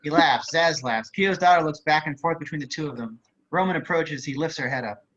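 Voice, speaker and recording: A man's voice: on the high side, a little fast, a bit bright. A man reading screenplay action as scripted narration over a video call. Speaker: stage directions reader. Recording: slightly muffled video-call audio.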